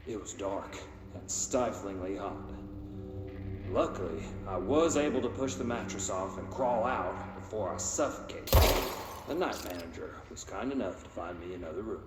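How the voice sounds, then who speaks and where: A man's voice: lowish voice, lively intonation, normal speed, lightly acted. An actor playing an earnest man telling his ghost story to a radio show, in a very noisy, reverberant recording. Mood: earnest, haunted. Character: earnest male caller recounting a frightening ghost experience. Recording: very noisy, echoey, long reverb.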